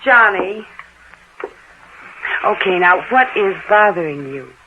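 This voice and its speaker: A woman's voice: mid-range voice, lively intonation, slow. An American woman playing a concerned companion in a 1960s radio drama, on a muffled, hissy old recording.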